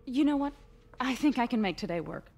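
An actress playing a brisk, guarded young gallery official in a video game, formal in manner. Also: female voice, fairly high pitch, lively intonation, creaky, darkish tone. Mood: brisk, accommodating.

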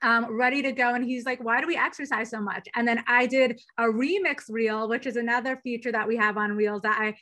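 A woman in her thirties talking as a guest in a fireside chat over a video call from a small room, upbeat and enthusiastic. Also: on the high side. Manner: upbeat, enthusiastic, quick and lively. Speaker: platform executive guest. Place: small bedroom indoors. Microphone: laptop webcam video call.

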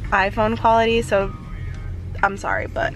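A woman's voice: mid-range voice, normal speed, faint music behind, low-quality recording. A young woman chatting casually as a vlogger in a car, speaking into a handheld camera at arm's length. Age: young adult. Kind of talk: casual vlog chat. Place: inside a car. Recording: heavy car and outdoor noise.

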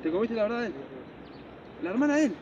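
A man's voice: extremely high pitch, lively intonation, fast talker, a little mellow, highs cut off. A young man with an Argentine accent, co-hosting a street prank and pestering strangers with repeated accusing questions. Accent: Argentine Rioplatense. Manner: insistent, repetitive accusing questions. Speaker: prank co-host. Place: outdoors on a seaside promenade.

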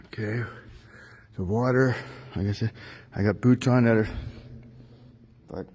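Low-key man wading through a tunnel. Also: fairly even intonation, unhurried, darkish tone, breathy edge. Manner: plain, matter-of-fact, talking to himself while wading. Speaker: vlogger exploring a tunnel. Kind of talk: casual handheld narration. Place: inside a long flooded stone tunnel. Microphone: phone camera held while walking.

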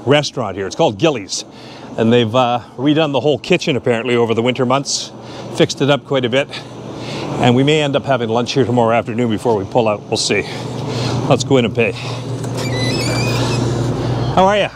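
A man's voice: medium pitch, a little fast, long pauses, noisy background. A man in his fifties narrating a casual outdoor vlog in a chatty, joking way, recorded on a lapel mic outside. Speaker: vlogger. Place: outdoors at a boat launch and gas station. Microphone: lapel mic clipped to shirt.

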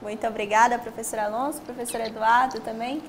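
A young woman with a fairly high voice and a Brazilian Portuguese accent hosts a studio talk show. She thanks guests and announces the next show politely and formally. A lapel mic picks up faint noise behind her. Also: fairly even intonation, brisk. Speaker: host. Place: studio set.

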